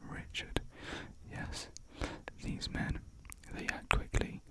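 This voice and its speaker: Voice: slow, natural voice. A whispering reader voicing a mysterious, dying woman spy giving urgent warnings. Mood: tender, urgent. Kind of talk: ASMR whispered script reading.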